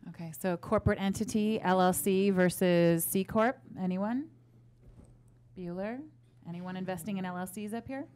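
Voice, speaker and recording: A woman's voice: medium pitch, moderate pace, a little mellow. A woman moderating a live investor panel, talking in a conversational way with frequent fillers.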